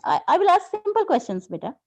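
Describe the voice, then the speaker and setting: A woman's voice: very high-pitched, wildly swooping pitch, fast. A woman teaching an online English class over a video call, patiently correcting students' grammar.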